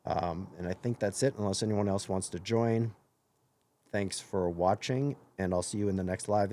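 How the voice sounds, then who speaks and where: A hoarse man hosting his own live stream, talking earnestly in a rambling way and often correcting himself mid-sentence. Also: deep voice, dark tone. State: hoarse after illness. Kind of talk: casual live-stream monologue.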